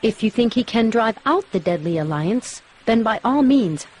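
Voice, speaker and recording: A woman's voice: fairly high pitch, animated, moderate pace, slight background noise, natural voice. A woman playing a proud, determined young village warrior in a video game, speaking formally and earnestly. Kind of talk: video game cutscene acting.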